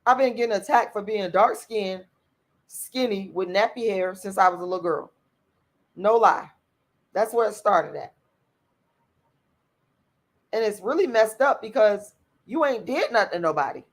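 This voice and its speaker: A woman's voice: medium pitch, lively intonation, normal speed, pauses often. A woman, hosting a livestream and reacting to viewer comments. She talks in a blunt, outspoken way, swears freely and repeats her points to drive them home. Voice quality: raspy voice. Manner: blunt and profane, repeats herself. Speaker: channel host reading viewer comments.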